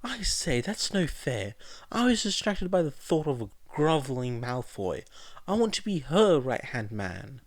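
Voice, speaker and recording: A man's voice: mid-range voice, sing-song, measured pace, no room sound, pitched above the performer's own voice. Man voicing a cheeky, blunt teenage boy. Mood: indignant. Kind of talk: audiobook character dialogue.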